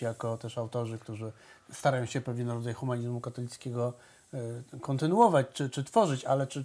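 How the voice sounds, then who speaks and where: A man's voice: a little high, darkish tone. Middle-aged male interviewer, studio set, meandering questions. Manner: long winding questions with fillers.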